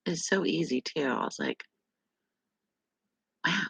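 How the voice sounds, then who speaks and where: Middle-aged woman host, gravelly low voice, unhurried chatty live stream delivery, dry close sound. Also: wildly swooping pitch, fairly bright tone, no echo. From West Virginia, USA. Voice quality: deep, gravelly voice. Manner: slow, rambling, laughs often. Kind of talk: casual live chat with viewers.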